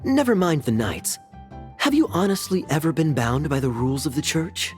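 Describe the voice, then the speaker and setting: Smooth teasing anime game captain, playful n sly, very animated pitch. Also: male voice, moderate pace, faint music behind. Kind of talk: anime video game voice acting.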